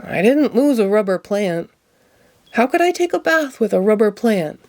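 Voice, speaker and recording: A woman's voice: mid-range voice, sing-song, normal speed, a little mellow. A woman voicing an earnest, plaintive, childlike male puppet from a children's storybook. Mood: puzzled. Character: earnest, childlike male puppet who loves his bath toy.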